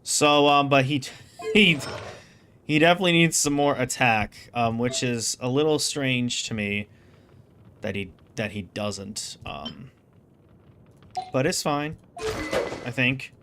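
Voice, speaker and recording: A man's voice: mid-range voice, takes it slowly, pauses often, fairly bright tone, a little hiss or hum. A man giving casual, rambling game commentary, thinking aloud as he streams.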